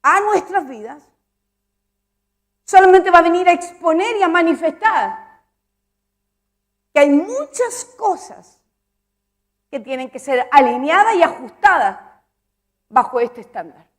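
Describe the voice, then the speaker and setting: A woman preaches in a high voice and builds emphasis by repeating her lines. She stops to ask her listeners whether they follow her. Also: lively intonation, a little slow, pauses often. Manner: emphatic, repeats phrases, asks the congregation questions. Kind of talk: sermon.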